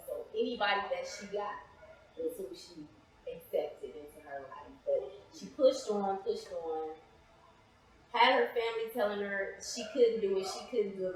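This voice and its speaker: A woman speaking casually as an interview guest, reflective and a little hesitant, repeating phrases for emphasis. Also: mid-range voice. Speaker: interview guest, playwright and actress. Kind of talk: casual personal conversation. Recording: very echoey, reverberant room.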